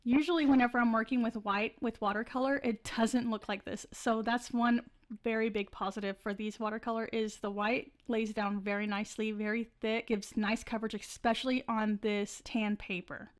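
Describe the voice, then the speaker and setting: A woman's voice: fairly high pitch, flat delivery, moderate pace. A young woman narrating her drawing process in a casual, chatty way, her voice a bit scratchy from the flu. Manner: chatty, many likes and restarts, laughs often. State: scratchy voice, getting over flu. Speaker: art channel host.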